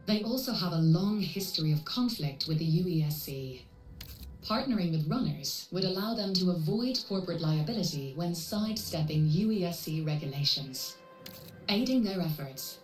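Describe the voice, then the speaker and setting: Woman acting as female AI guide, low voice, composed, clinical, polite, corporate video game character, distant over music. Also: close to an everyday voice. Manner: polite, measured, clinical, formal. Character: composed female AI handler, coolly corporate, guiding a mercenary. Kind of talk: scripted video game cutscene dialogue. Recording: roomy processed echo, distant, music underneath.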